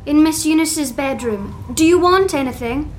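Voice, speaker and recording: A woman's voice: sits high, normal speed, darkish tone, slight background noise, close to an everyday voice. Woman acting a kindly, anxious old woman. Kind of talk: dramatised gothic ghost story acting.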